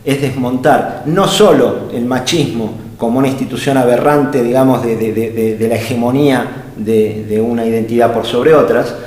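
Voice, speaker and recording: A man's voice: medium pitch, normal speed, hardly any pauses, a little mellow. A man giving an unscripted philosophical talk, hesitating often and searching for words with fillers and restarts. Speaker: speaker at an art-gallery think tank. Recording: echoey hall with long reverb.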